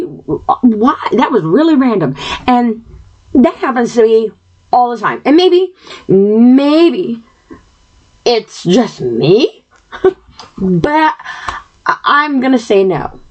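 A woman hosting a podcast, talking earnestly from home into her computer microphone, wandering off topic with lots of fillers and throat clearing, her mouth dry. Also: fairly high pitch, very animated, slow, pauses often, a little mellow, rough recording. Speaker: podcast host. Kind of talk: rambling personal monologue.

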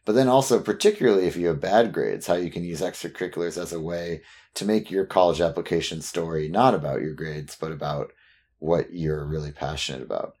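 A man's voice: sits low, fairly quick, darkish tone. A man hosting a podcast, upbeat and casual, introducing guests and asking questions. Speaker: podcast host.

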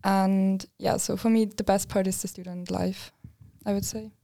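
A woman's voice: mid-range voice, level tone, normal speed, vocal fry, warm tone, dry recording, clean studio sound. A young woman from Austria, an exchange student, chatting casually on a podcast with many fillers. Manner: casual, uses "like" often.

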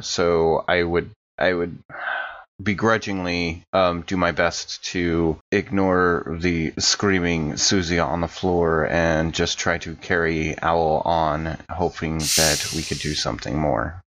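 A man's voice: deep voice, steady tone, a little slow, fairly bright tone. A man playing a tabletop game, casually and wryly thinking his choices through aloud, with a slightly dull sound. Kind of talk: casual actual-play game chat.

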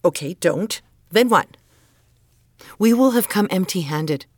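A woman's voice: lowish voice, lively intonation, slow pace, darkish tone, no echo, heavily acted. A woman playing a stern, exasperated middle-aged mother who holds to tradition, in a naturalistic audio drama, insistent and scolding. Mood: angry, scolding. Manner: insistent, guilt-laden pleading and scolding.

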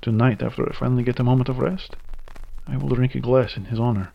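A man acting a weary officer recording a resigned log report in an audio drama, husky and gravelly. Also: mid-range voice, fairly even intonation, fast talker. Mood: grim, resigned. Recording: dull, muffled log recording.

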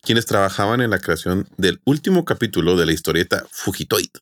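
Mexican guy hosting a podcast, low voice, jokey storytelling, dry close sound. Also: lively intonation, brisk, a bit bright, dry recording. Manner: jokey, colloquial. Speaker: podcast host. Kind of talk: casual podcast storytelling with friends.

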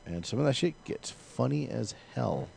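A man's voice: medium pitch, animated, moderate pace, vocal fry, a little mellow, slightly rough. A man, perhaps in his thirties, chatting informally about video games as a co-host, with plenty of profanity.

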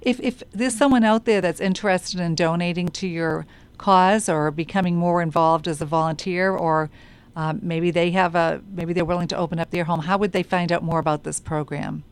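A woman's voice: on the low side, fairly even intonation, normal speed, clean tone. A woman with a Boston accent hosting a radio interview in a chatty manner. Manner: chatty, friendly banter. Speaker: radio show host.

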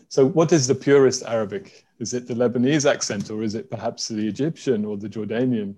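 A man of middling pitch hosts a remote conversation from home, speaking warmly and carefully. He pauses often on small fillers as he frames his questions. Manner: friendly, hesitant with many fillers. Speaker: host. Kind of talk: video call interview. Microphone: video call from home.

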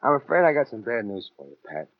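A man's voice: mid-range voice, big pitch swings, fast, no room sound. An actor in a 1940s radio crime drama playing a cold, self-justifying American killer, brooding as he narrates and clipped when he talks. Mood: coldly calm. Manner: brooding first-person narration with hesitant, clipped dialogue. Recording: muffled 1940s radio broadcast, faint music.

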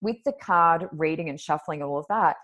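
A woman with a naturally deep voice, chatting casually as a podcast co-host, easygoing and full of fillers. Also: brisk, muffled recording. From Australia. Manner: relaxed, hesitant with fillers. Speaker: podcast co-host and card reader. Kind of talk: casual conversational chat.

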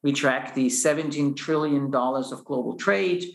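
A middle-aged man from Austria, a company founder answering podcast interview questions in a measured way with many restarts. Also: medium pitch, gravelly. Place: company office. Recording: echoey office room.

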